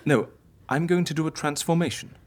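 A woman's voice: sits low, fairly quick, darkish tone, close to an everyday voice. A woman playing an eccentric female healer in an audio drama, theatrical, playful and persuasive. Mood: coaxing, solemn.

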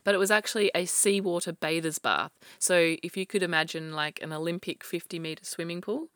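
Slightly croaky woman, chatty storyteller. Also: on the low side, fairly quick, fairly bright tone, no room sound, studio quality. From Australia. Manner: chatty storyteller, laughs often, restarts sentences. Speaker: podcast co-host telling a true crime story. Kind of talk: casual chat.

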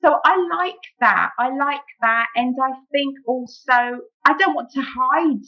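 A middle-aged woman with a British accent talks casually as a novelist being interviewed. Her voice sits fairly high, and her speech is chatty and conversational. The recording sounds muffled and a little dull. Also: measured pace. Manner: chatty, frequent "you know".

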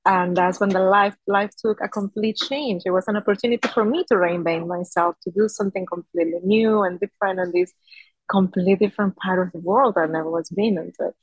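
A woman's voice: mid-range voice, steady tone, brisk, husky, dry recording, highs cut off. A woman in her thirties from Peru, a native Spanish speaker, telling her story conversationally as a podcast guest. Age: 30s. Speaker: podcast guest, Pilates studio founder.

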